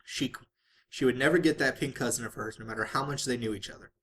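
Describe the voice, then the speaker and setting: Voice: mid-range voice, fast talker, fairly bright tone, a little hiss or hum. An amateur reader narrating a story aloud in the manner of a home-made audiobook. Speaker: fan fiction reader.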